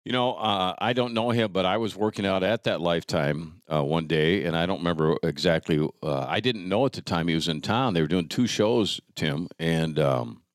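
A man's voice: low-pitched, normal speed, few pauses, dry recording, studio quality. A middle-aged man chatting casually and telling stories in a rambling, self-deprecating way, breaking off mid-thought. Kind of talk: casual chat, storytelling.

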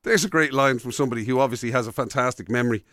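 An Irish man co-hosting a radio show in casual comic banter, halting and questioning as he talks. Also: mid-range voice, fairly bright tone, dry recording, studio quality.

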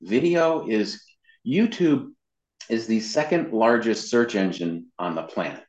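A man's voice: lowish voice, measured pace, a little mellow, roomy sound, muffled recording. A man giving casual, explanatory answers as an interview guest, stressing his points by repeating them. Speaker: interview guest, web marketing consultant and voice actor.

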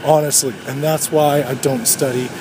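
A man's voice: medium pitch, fairly even intonation, moderate pace. A man in his thirties hosting a solo podcast, ranting in a sardonic, profane way while walking outdoors. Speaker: podcast host. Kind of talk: solo rambling podcast rant. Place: outdoors on a street. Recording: street noise with passing traffic.

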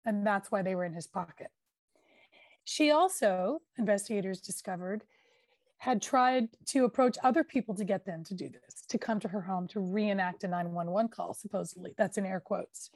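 A woman tells a true-crime case on a podcast in a chatty, emphatic way, leaning on fillers as she goes. She is recorded close and dry, with no room sound. Her pitch sits in a middle range for a woman. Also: moderate pace, a little mellow, no echo. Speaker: podcast host. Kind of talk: casual true-crime storytelling.

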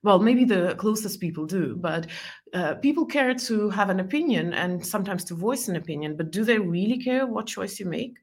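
A woman's voice: medium pitch, lively intonation, moderate pace, slightly rough. A woman from Estonia, a native Estonian and Russian speaker with a mixed accent, answering interview questions in a reflective way that often breaks into laughter. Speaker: guest.

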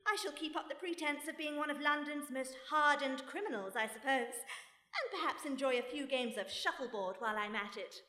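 A shrewd British lady detective in a radio play. Her voice is high and bright, with composed, dryly witty delivery. It rings in an echoey hall. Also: fairly quick. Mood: resolute, dry. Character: shrewd, unflappable British mother turned amateur sleuth. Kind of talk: radio play mystery acting. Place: stage before a live audience. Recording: echoey hall, live stage recording.

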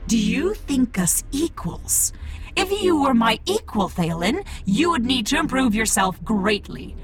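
An actress playing a cold, haughty machine-cult priestess who speaks in grave, deliberate pronouncements in a sci-fi audio drama. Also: female voice, fairly high pitch, sing-song, breathy edge, heavily acted. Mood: imperious fury. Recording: dramatic music under the voice. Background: music.

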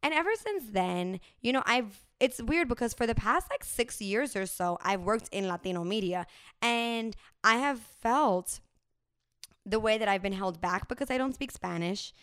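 A woman's voice: on the high side, normal speed, smooth, no room sound, clean studio sound. A woman in her thirties from New Jersey, chatting casually and telling personal stories with lots of fillers. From New Jersey, USA. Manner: animated storytelling with frequent fillers. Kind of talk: casual conversational chat.

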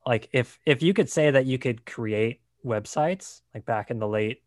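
Man, podcast host, casual rambling chat, mid pitch, dry close sound. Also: moderate pace, dry recording. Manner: relaxed, rambling, many fillers like "like" and "uh".

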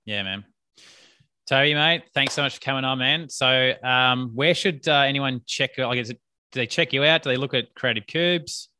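A man with an Australian accent hosting a podcast, relaxed and casual. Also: mid-range voice, normal speed, slight background noise. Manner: laid-back, says mate and man. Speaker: podcast host. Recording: loud guitar music behind.

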